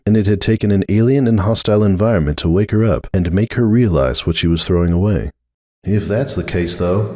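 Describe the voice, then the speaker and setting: A male narrator giving a dramatic reading of a story. His voice is on the low side with highly varied intonation, recorded very dry. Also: a little mellow, no room sound. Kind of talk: dramatic audiobook narration of fan fiction.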